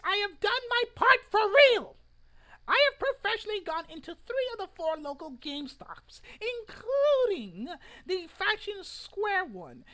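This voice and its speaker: Casting: young man playing a pompous, whiny male internet protester for a mock dramatic reading; very high, bright, swooping delivery, stilted and indignant. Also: exaggerated voice. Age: young adult. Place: living room at home, indoors.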